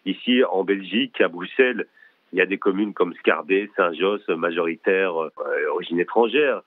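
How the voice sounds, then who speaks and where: A man who directs a documentary, talking as a guest with eager, halting restarts. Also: sits low, dry recording. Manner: eager, many restarts and hesitations. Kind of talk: remote interview conversation. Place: home in Brussels. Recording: dry tinny phone line.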